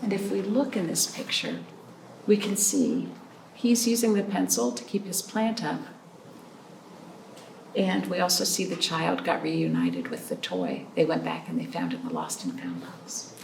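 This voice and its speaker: A woman hosting a storytime for young children, reading expressively with drawn-out phrases and pausing to put questions to her listeners. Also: fairly low pitch, very animated, vocal fry, darkish tone. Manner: prompting listeners with questions and pauses. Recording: roomy, distant mic, faint noise.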